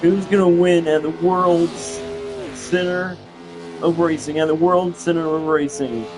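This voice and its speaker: A young man gives slow, deliberate play-by-play for a racing game in a slightly high voice, often stopping to restart his phrases. Engine noise and clear music run underneath him. He sounds like an amateur calling the race from home. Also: fairly even intonation, low-quality recording. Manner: halting, repeats phrases, restarts. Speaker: race commentator.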